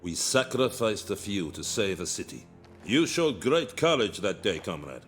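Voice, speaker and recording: A man's voice: lowish voice, big pitch swings, moderate pace, soft background music. An actor playing a stern male Soviet commissar who coldly defends sending soldiers to die, in a war game cutscene. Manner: cold, dogmatic, defends sacrifice. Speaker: interrogator.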